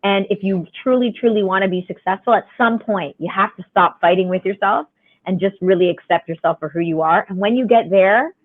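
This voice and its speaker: Raspy-voiced woman on a tinny line. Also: medium pitch, a little fast. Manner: frank, chatty, laughs often. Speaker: podcast guest. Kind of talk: candid conversational storytelling. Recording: tinny phone-line sound.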